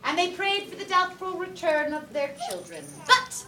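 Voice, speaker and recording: A woman telling a story to young children in a playful, lively way with drawn-out emphasis, in a classroom. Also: very high voice, very noisy. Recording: echoey room from far off.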